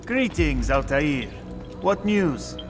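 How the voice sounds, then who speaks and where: An actor playing a courteous informant for assassins in a video game, speaking in a formal and precise way. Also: male voice, on the high side, sing-song, slow, over music. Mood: businesslike. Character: courteous, contrite male bureau informant for assassins. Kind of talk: video game cutscene acting.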